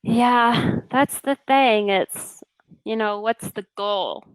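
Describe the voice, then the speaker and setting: A woman's voice: a little high, slow, clear, resonant tone, no room sound, rough recording. A woman working as an online English tutor, chatting easily over a video call with a lot of casual fillers. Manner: easygoing and chatty, many fillers like yeah and you know. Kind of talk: casual conversation practice.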